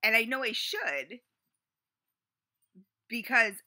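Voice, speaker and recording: Woman, late forties, chatty confessional vlogger, very bright tone, lots of fillers. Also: a little high, sing-song, slow pace, faint music behind. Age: 40s. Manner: rambling, chatty, full of "you know" and "like". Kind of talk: casual confessional vlog.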